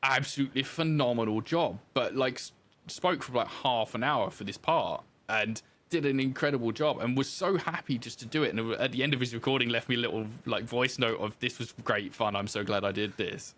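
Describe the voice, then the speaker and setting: A man's voice: medium pitch, brisk, a bit bright. A man talking casually as a guest in a podcast interview, telling anecdotes with self-deprecating asides.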